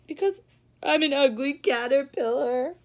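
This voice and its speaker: A woman's voice: high-pitched, measured pace. A woman voicing a sad, lonely little female caterpillar from a children's storybook in a tearful, whining manner.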